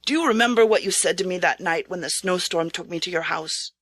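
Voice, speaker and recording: A woman's voice: lowish voice, a little fast, fairly bright tone, no room sound. A woman voicing a commanding yet kindly male regent in a storybook, with a stately, reassuring manner. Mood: solemn, kind. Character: commanding, magnanimous old regent lord, male.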